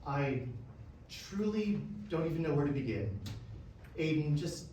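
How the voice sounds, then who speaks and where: Distant echoey stage sound, man as exasperated professor in his sixties, higher male voice, swooping intonation, disdainful. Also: big pitch swings, a little slow, warm tone, a little hiss or hum. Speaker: actor in a staged reading. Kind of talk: stage drama dialogue. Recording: very echoey room, distant mic.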